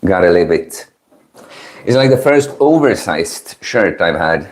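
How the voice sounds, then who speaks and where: A man in his early thirties with a low-pitched voice, talking slowly to the viewers of his livestream. His delivery is chill and low-key, like an easygoing, informal chat. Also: very animated. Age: early 30s. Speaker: livestream host. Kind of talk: casual chat with live stream viewers.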